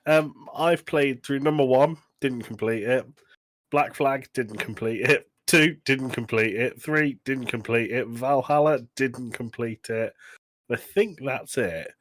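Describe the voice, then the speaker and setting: A man's voice: medium pitch, measured pace, a bit bright, no room sound. A British man co-hosting a podcast in casual chat, with a dry, joking manner. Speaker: podcast co-host.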